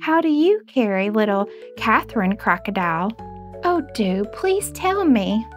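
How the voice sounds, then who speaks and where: A woman's voice: mid-range voice, big pitch swings, a little slow, soft background music. A woman voicing an anxious young mother kangaroo who pleads tearfully. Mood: pleading.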